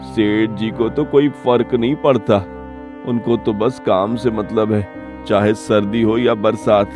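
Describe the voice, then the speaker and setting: A man's voice: on the low side, steady tone, unhurried, over music, natural voice. A man voicing a poor, humble, weary village family man in a cartoon story, earnest and resigned.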